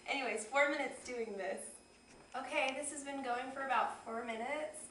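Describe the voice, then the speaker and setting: A woman hosting a casual home cooking demonstration, a little hoarse, talking chattily as she works. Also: high-pitched, a little slow, a bit bright, a little hiss or hum. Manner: chatty, friendly instructional tone. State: slightly hoarse. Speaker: cooking show host. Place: home kitchen. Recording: echoey kitchen, mic at a distance.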